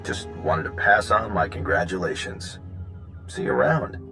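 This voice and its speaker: An actor playing a friendly, easygoing military ally in a science fiction video game. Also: male voice, lowish voice, very animated, normal speed. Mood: friendly, pleased. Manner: casual, breezy wording. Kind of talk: video game cutscene acting. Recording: clear music under the voice.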